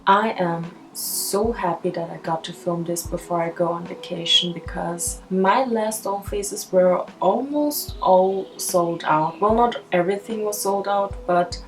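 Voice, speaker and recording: A woman's voice: fairly low pitch, measured pace, few pauses, poor audio. A woman from Austria, a native German speaker, rambling casually in a craft vlog voice-over. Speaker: craft vlogger. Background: background music.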